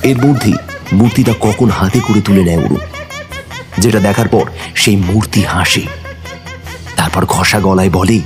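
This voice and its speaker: A man's voice: on the low side, measured pace, long pauses, music in the background. A man narrating a ghost story in a suspenseful, dramatic storytelling manner. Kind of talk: scripted horror narration.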